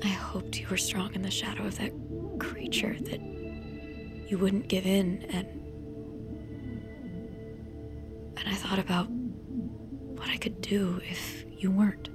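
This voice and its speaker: A woman playing a lost, guilt-ridden woman confessing to a friend in an intimate, halting audio drama monologue. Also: mid-range voice, lively intonation, music in the background, natural voice. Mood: anguished, brooding.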